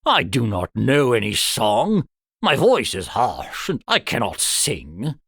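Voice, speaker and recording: A man's voice: mid-range voice, huge pitch swings, slow, fairly bright tone, husky, dry recording, studio quality, exaggerated voice. A man voicing a timid, humble medieval cowherd in a storybook, hesitant and plaintive. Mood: fearful, resigned.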